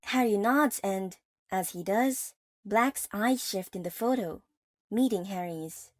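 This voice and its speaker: A woman's voice: mid-range voice, slow, darkish tone, no room sound, studio quality. A woman giving vivid, dramatic scripted narration for an audio drama. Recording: music under the voice.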